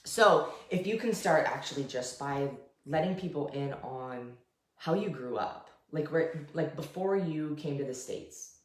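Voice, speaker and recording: A woman with a notably low voice hosts a casual talk show, pausing often with "um" as she gathers her thoughts. The sound is roomy and echoey. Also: lively intonation. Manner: warm, hesitant, many "um"s. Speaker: podcast co-host, interviewer. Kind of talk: casual interview.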